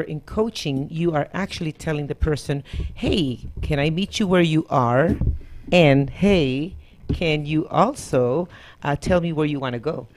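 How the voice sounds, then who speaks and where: A woman's voice: low-pitched, a little mellow, slight background noise. A woman from Costa Rica who trains life coaches, a guest on a talk show, speaking in a confident, upbeat way. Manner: confident, upbeat, laughs easily. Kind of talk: talk show guest explaining her work.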